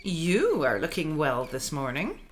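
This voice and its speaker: An actress playing a stern, suspicious middle-aged mother in a radio play, sharp-tongued and commanding. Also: deep voice, wildly swooping pitch, measured pace, slight background noise, exaggerated voice. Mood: coolly probing. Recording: music under the voice. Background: music soundtrack.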